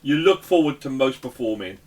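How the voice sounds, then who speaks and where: A man's voice: mid-range voice, lively intonation, a little fast, a little mellow, rough recording. A man hosting a casual interview in a jokey, rambling way, often repeating himself. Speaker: interview host.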